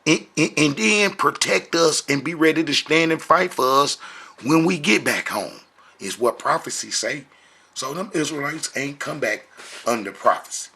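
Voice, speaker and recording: A man's voice: on the high side, moderate pace, creaky, bright, forceful tone, husky. A man giving impromptu religious commentary, preaching emphatically and repeating phrases for stress. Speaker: online religious teacher.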